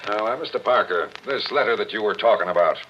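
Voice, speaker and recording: An actor playing a shrewd homicide police captain who questions people in a wry, probing way, in 1940s radio acting. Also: male voice, lowish voice, quick pace, slight background noise, close to an everyday voice. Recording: dull old radio broadcast.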